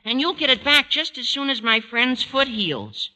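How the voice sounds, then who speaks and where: Eager schoolboy, male: squeaky high adolescent voice, gawky and earnest, old-time radio sitcom sound. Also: very animated, moderate pace, pushed and bright, full character voice. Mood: grateful, flustered. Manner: earnest gosh-and-gee fibbing. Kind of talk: 1940s radio sitcom acting. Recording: noisy old radio broadcast, car sound.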